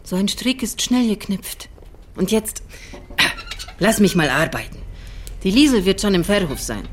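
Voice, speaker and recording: A woman's voice: medium pitch, very animated, measured pace, slight background noise. An actress playing a middle-aged farm mother, folksy, blunt and teasing, in a German radio drama. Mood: stern. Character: blunt, earthy farm mother of a teenage daughter.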